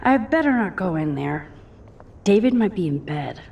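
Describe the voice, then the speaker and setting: A young woman with an American accent playing an introspective, self-doubting teenage girl in a video game, soft-spoken, understated and casual. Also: on the low side, very animated, moderate pace, creaky, highs cut off. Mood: musing. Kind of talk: video game acting, quiet inner monologue and dialogue. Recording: music under the voice. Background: background music.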